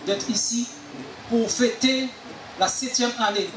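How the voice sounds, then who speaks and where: A man's voice: very high-pitched, slow pace, a bit bright, reverberant, slight background noise, rough recording. A man preaching as a guest, exhorting the congregation and punctuating his phrases with amens. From Haiti. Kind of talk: sermon with call and response.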